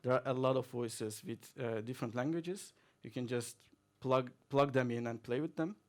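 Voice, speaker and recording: A man talks through a tech demo at a conference, at an everyday middle pitch. He is relaxed and chatty, leaning on fillers and chuckling now and then as he goes. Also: moderate pace. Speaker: conference speaker. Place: conference hall, audience present.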